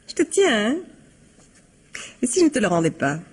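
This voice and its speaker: A woman's voice: high-pitched, big pitch swings, slow pace, breathy edge, heavily acted. A woman acting as a scheming, taunting wife in a French radio mystery, with a theatrical, teasing delivery.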